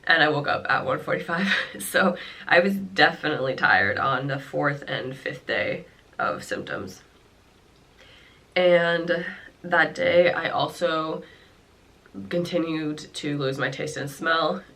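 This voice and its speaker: Congested young woman, bright chatty voice. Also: low-pitched, takes it slowly. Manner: chatty, rambling, with frequent fillers and laughs. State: congested, nasal. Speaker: vlogger. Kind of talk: casual talk to camera about her illness. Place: her home.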